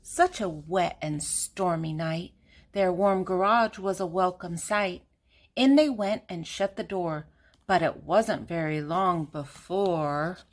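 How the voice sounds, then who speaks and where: A woman's voice: lowish voice, slow. An older woman reading a children's picture book aloud, speaking simply and directly to young listeners. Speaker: children's storybook reader.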